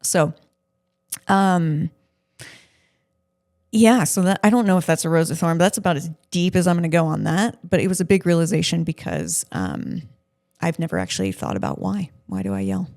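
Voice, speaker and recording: A woman's voice: lowish voice, animated, moderate pace, gravelly, darkish tone. A woman co-hosting a podcast in casual conversation, with a blunt, self-deprecating way of talking. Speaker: podcast co-host interviewing her partner.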